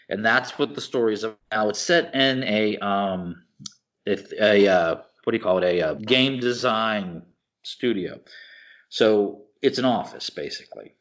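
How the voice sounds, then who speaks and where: A man co-hosting a podcast, chatting casually and enthusiastically, halting and searching for words as he rambles. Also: lowish voice, a bit bright. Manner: informal, enthusiastic. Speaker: podcast co-host. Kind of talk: casual chat about films and TV shows. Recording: slightly dull, lo-fi podcast audio.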